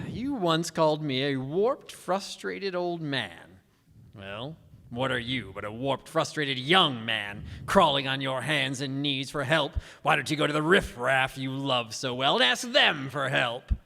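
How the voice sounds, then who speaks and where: A man plays a merciless, sneering old rich man in a radio drama. He draws out his taunts with a forceful edge and big swings of pitch. The sound rings in a large hall. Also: animated, measured pace, creaky, heavily acted. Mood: cold, mocking. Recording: echoey hall, stage reverb.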